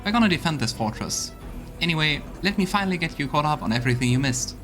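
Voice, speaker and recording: A man's voice: fairly high pitch, animated, quick pace, fairly bright tone, faint music behind. A man voicing a loyal, weary female army officer in a video game, talking in an earnest, folksy way. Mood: relieved, determined. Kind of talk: voiced video game dialogue.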